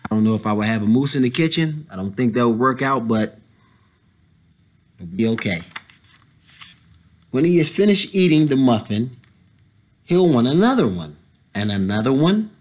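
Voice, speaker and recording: A man in his thirties reading a children's picture book aloud, pausing to add casual explanatory asides for young listeners. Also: mid-range voice, sing-song. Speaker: story time reader, educator. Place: indoors, school library.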